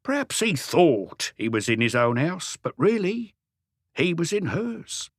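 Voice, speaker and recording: High-pitched, cagey old workman. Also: sing-song, measured pace, dry recording, studio quality. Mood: knowing, skeptical. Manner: colloquial, evasive, answers questions with questions. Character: cagey old Parisian workman, stocky house painter, wry.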